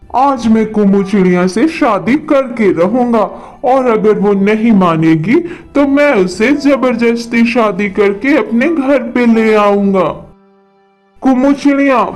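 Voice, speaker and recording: Man voicing an extremely high-pitched pushy drunkard crow. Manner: insistent, demanding. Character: drunkard male crow, pushy, lovesick suitor. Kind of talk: children's cartoon voice acting.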